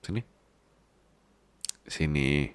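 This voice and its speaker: Man acting a very deep-voiced, doting husband. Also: animated, drawn-out words, slight background noise, exaggerated voice. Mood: tender, worried. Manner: tender, coaxing, playful teasing. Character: doting, worried boss husband, big-baby clingy and teasing. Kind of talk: intimate ASMR boyfriend-style roleplay in casual talk.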